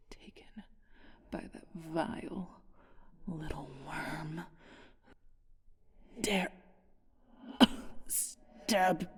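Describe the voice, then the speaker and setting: A woman playing an ancient, flirtatious and haughty vampire thief in an ASMR audio roleplay, weakened by a wound and speaking in a breathy, husky whisper. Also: sits low, exaggerated voice. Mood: pained, bitter. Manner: slow, teasing, haughty, with theatrical pauses.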